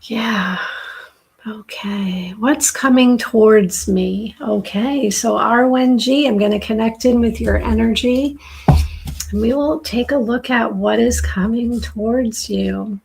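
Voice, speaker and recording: A middle-aged woman with a raspy, dry-throated voice, slowly and softly giving a live tarot reading as host. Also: a little high, slight background noise, rough recording. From southern New Hampshire. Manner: slow, soft, soothing intuitive reading.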